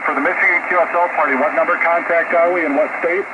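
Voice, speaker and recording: Higher-voiced man on noisy shortwave radio. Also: steady tone, fast, phone-quality sound. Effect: shortwave radio transmission. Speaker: amateur radio operator. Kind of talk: radio contact calls with phonetic spelling.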